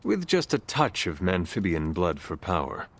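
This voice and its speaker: An actor playing a solemn, courteous ancient warrior with dry wit in a video game, speaking formally in a gravelly voice. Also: male voice, sits low, sing-song, fairly bright tone, exaggerated voice. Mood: dryly amused. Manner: formal, measured, no contractions.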